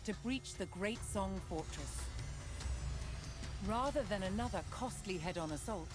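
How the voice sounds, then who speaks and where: Loud music runs beneath a woman's voice. She narrates in a scripted documentary style, telling the story in measured, deliberate phrases. Her pitch sits in the middle range for a woman. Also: expressive.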